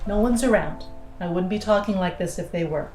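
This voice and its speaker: A woman's voice: mid-range voice, a little fast, a little mellow, natural voice. A woman playing a cynical, defiant astronaut in an audio drama, blunt, sardonic and persuasive, plotting an escape. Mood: blunt, bitter. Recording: roomy sound with music underneath.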